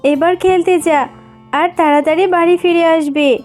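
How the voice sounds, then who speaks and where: A woman voicing a poor, gentle mother fox in a cartoon, speaking in an earnest, motherly way. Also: extremely high pitch, fairly even intonation, normal speed, soft background music. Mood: tender. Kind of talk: cartoon voice acting.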